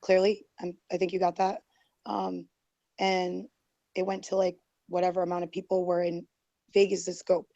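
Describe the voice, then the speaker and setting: Dark-voiced woman losing her voice. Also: on the low side, level tone, moderate pace, creaky, no echo, lo-fi sound. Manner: rambling, defensive, many fillers and restarts. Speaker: guest. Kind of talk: heated online group call.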